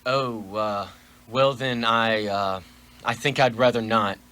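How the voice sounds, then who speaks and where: A man's voice: on the low side, steady tone, slow pace, sharp tone. A man playing a frightened, pleading miser in a radio play, theatrical and prone to stammering. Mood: timid, reluctant. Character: frightened, pleading miserly businessman facing a ghost.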